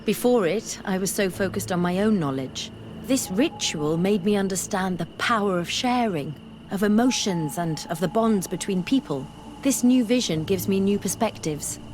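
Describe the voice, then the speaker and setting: An actress playing an earnest, bookish young female scholar in a video game, speaking in a measured, articulate way. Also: medium pitch, animated, noisy background, lightly acted. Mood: thoughtful, hopeful. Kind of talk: video game dialogue acting. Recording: music behind the voice.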